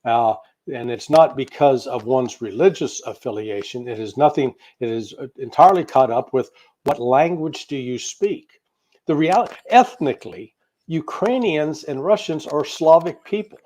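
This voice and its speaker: A man's voice: mid-range voice, lively intonation, moderate pace, a little mellow, low-quality recording. An American man speaking as a guest commentator in a political interview, opinionated and emphatic, piling up points in lists. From United States. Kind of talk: spoken political analysis in an interview.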